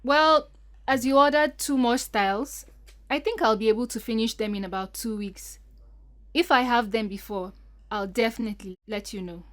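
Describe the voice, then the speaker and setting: A woman's voice: on the high side, moderate pace, fairly bright tone, lightly acted. A woman playing a troubled, polite young bride-to-be in an audio drama, speaking earnestly and hesitantly. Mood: obliging.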